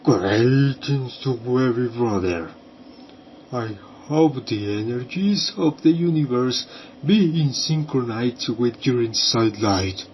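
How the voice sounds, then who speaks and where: A man playing a theatrical esoteric medium in a scripted role-play, with solemn, incantatory, halting delivery. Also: mid-range voice, animated, a little mellow. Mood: mystical, welcoming. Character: theatrical male esoteric medium summoning spirits. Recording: clearly noisy amateur recording.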